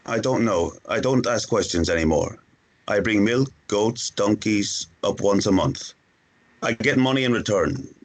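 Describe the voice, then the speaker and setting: Low and rough-edged, this is a guarded rural farmer acted by a man in a drama read-through. He is Spanish-speaking and sparing with words. His delivery is blunt, plain and halting. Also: poor audio. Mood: resigned. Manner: terse, halting, plain-spoken.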